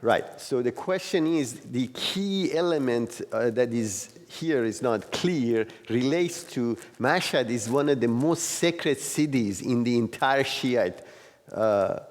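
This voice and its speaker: A man facilitating a class discussion, explaining informally and haltingly as he searches for words. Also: medium pitch, expressive. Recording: reverberant hall.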